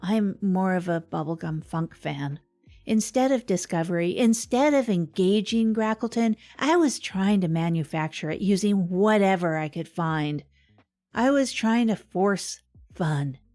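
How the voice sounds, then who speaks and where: Woman playing an earnest, unhurried podcast host. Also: mid-range voice, animated, darkish tone, close to an everyday voice. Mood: earnest, rueful. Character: earnest, confessional podcast host Tog, a clowning comedian. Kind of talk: scripted comedic monologue.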